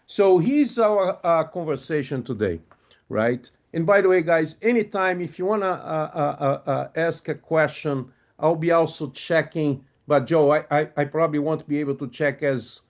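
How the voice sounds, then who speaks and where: A man with a Brazilian Portuguese accent, who first spoke German and French, giving a friendly webinar talk, often hesitant and full of fillers, on a video call. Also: on the high side. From grew up in a German- and French-speaking home, moved to Brazil. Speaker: webinar presenter on project management. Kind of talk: live webinar presentation.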